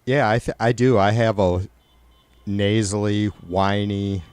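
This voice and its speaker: Low, nasal, raspy man chatting. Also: fairly even intonation, slow pace, a little mellow. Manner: rambling, profane, loose. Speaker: livestream host. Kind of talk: casual chat with live viewers.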